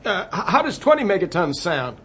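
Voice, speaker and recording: An actor playing a flustered, placating American President on a hotline call in a satirical radio play, halting and stammering politely. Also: male voice, high-pitched, sing-song, pushed and bright, slight background noise, heavily acted. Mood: anxious, exasperated. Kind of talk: satirical radio comedy drama.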